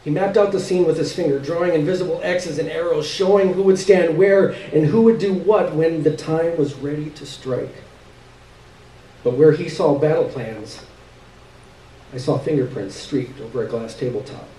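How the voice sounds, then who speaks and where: A man's voice: on the high side, normal speed, warm tone. A man giving a live dramatic reading of first-person literary fiction on stage. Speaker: reader of a short story. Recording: echoey room, distant mic, background noise.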